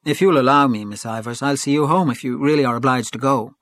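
A hearty gentleman in a storybook, voiced by a man. He is courteous and animated, eager to be of service. The sound is close and dry. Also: medium pitch, quick pace, no room sound, lightly acted.

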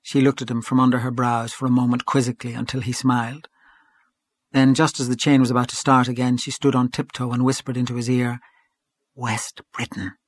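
Measured male audiobook narrator, dry close sound. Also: medium pitch, flat delivery, no room sound. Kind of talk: audiobook reading of literary fiction.

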